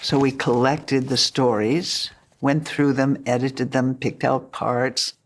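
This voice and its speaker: A man, co-writer of a play, retelling events in a brisk, animated way during an interview. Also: medium pitch. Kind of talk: documentary interview.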